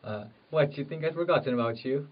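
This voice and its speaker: A man's voice: medium pitch, fairly quick, lightly acted. A man playing a poor, conflicted farmer with rural old-fashioned phrasing in an amateur period drama. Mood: tender, reassuring. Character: poor, conflicted married farmer, secretly in love. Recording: muffled audio, distant microphone.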